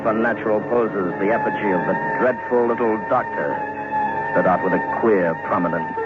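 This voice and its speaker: Low-voiced man narrating horror on tinny old radio. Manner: suspenseful, deliberate phrasing. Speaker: storyteller. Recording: thin, band-limited vintage radio recording with music.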